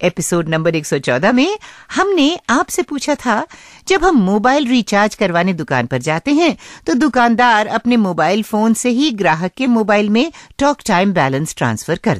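महिला रेडियो होस्ट, बेहद जीवंत लहजा. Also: female voice, medium pitch, no echo, clean studio sound. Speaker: radio show host. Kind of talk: scripted, conversational radio presenting.

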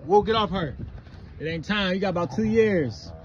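A man's voice: high-pitched, lively intonation, moderate pace, highs cut off. A man chatting casually as a home vlog host, playful and encouraging with his family. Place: at home, indoors and out in the yard. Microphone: handheld phone. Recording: clearly noisy handheld phone recording.

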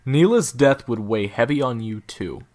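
A man playing a cold, defiant assassin gang leader in an audio roleplay drama, with a husky, gravelly voice. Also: medium pitch, lively intonation, moderate pace, darkish tone, lightly acted. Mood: cold, commanding.

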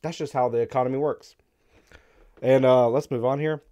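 Man, thirties, hoarse and strained, blunt commentary, wry chuckles, studio mic. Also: medium pitch, steady tone, normal speed, warm tone, a little hiss or hum. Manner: blunt, wry, breaks into laughs. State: hoarse, losing his voice, coughing. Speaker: crypto live-stream host. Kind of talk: casual opinionated commentary. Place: dark studio room, indoors. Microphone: studio mic on stand in front.

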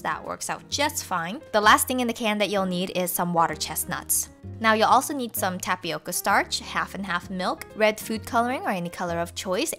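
A woman's voice: medium pitch, fairly bright tone. A young woman narrating a home recipe video, walking through each step cheerfully as the channel's host. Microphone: voice-over.

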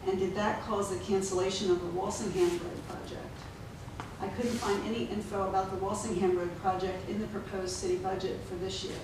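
A woman's voice: mid-range voice, fairly even intonation. Woman reading a statement in an echoey chamber. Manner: measured, reading from notes, formal. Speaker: resident giving public comment. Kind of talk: prepared statement read at a podium. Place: city commission chamber. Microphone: podium gooseneck mic. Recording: echoey chamber, mic at a distance, noisy.

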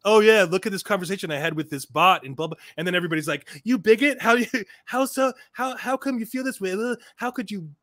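A man's voice: high-pitched, fast, hardly any pauses, a bit bright, no echo, studio quality. A middle-aged man hosting a livestream, giving casual commentary in an emphatic, rambling way and often repeating his phrases. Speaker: livestream host. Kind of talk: casual commentary on a livestream, reading articles aloud.

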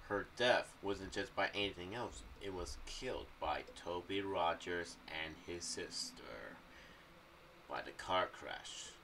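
A young man talks through his ideas in a casual, rambling vlog style. His voice is fairly low yet bright and forward, and he halts and repeats himself as he goes. He sounds somewhat far from the mic. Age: young adult. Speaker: YouTube vlogger. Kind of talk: casual commentary on a horror audio series. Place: small home room. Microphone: condenser mic with pop filter on boom arm. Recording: distant-sounding mic with faint background noise.

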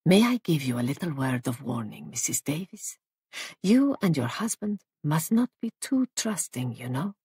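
A woman narrator acts out an elderly foreign gentleman in a storybook. He is husky and theatrical, drawing out his phrases with sly glee. His courtesy carries an insinuating menace, heard up close and dry. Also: a little high, big pitch swings, measured pace, dry recording, voice pushed up, full character voice. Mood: quiet warning.

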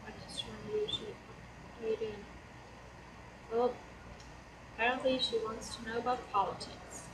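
A woman's voice: a little high, big pitch swings, moderate pace. A German teenage girl with a German accent and a slight cold, chatting casually and hesitantly over her gameplay. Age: teens. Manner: chatty, hesitant, many fillers. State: hoarse from a cold. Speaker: let's play host. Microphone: laptop mic. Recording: roomy, distant laptop mic with fan noise. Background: laptop fan noise.

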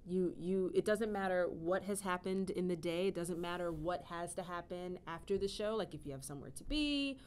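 A woman in her thirties, a stage actress and singer, chatting casually in an interview, low-voiced and often laughing. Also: normal speed, few pauses, clear, resonant tone. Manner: laughing often, animated with hand gestures. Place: indoor room with wooden shelves.

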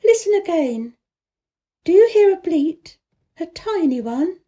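A woman voicing an excitable, earnest young girl in a storybook, speaking eagerly and emphatically. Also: very high-pitched, measured pace, voice pushed up, heavily acted. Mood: excited.

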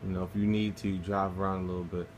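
A man's voice: deep voice, level tone, fast, darkish tone, slight background noise. A man casually talking through a game walkthrough, laid-back and swearing freely as he plays. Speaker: gameplay guide narrator.

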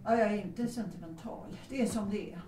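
A woman's voice: mid-range voice, a crowd in the background, lightly acted. Actress as a dignified widowed lady, roomy sound. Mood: matter-of-fact. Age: middle-aged. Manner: formal, dignified, matter-of-fact. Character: proud, unsentimental widowed lady of good family, 1932 Vienna. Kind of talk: period audio drama acting. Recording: roomy, distant microphone.

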